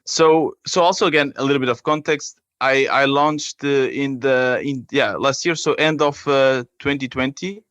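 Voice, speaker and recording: A man from Portugal with a Portuguese accent, explaining his work haltingly as a guest in a conversational interview. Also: mid-range voice, steady tone, a bit bright, dry recording. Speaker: app creator, podcast guest.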